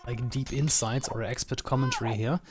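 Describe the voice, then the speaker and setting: Man, German accent, esports caster, measured and hedging, mid pitch, game music behind. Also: fairly even intonation. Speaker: esports commentator. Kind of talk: casual game commentary.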